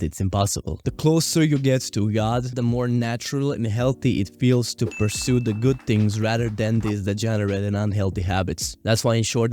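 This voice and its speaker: A man's voice: mid-range voice, mellow. A young man talking earnestly in a casual vlog, reasoning with the viewer and reading comments aloud. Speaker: YouTuber.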